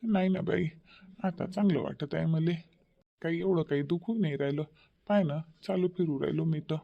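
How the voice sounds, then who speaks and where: Lady doing a village guy in a cartoon, low voice, mild and agreeable, varhadi marathi accent, bit muffled recording. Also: normal speed, vocal fry. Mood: reluctant. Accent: Varhadi Marathi of Vidarbha, India. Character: mild, agreeable village men, father and grown sons. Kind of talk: Marathi family cartoon story acting. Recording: slightly dull, lo-fi sound.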